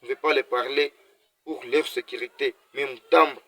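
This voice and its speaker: A man's voice: mid-range voice, steady tone, normal speed, crowd noise. A man from Congo delivering a passionate motivational monologue to his viewers, full of repeated words and restarts. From Congo (DRC). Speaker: video creator.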